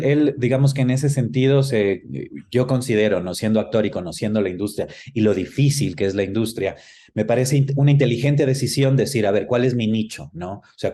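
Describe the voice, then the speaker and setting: Male interview guest. Soft, dark timbre, thoughtful conversational delivery that gropes for the right word. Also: mid-range voice. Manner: hesitant, searching for words. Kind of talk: reflective conversational interview answers.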